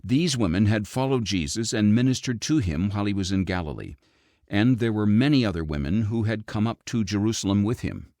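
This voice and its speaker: A man reading scripture as an audiobook narrator, in a measured, expressive storytelling delivery. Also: lowish voice, no room sound, studio quality.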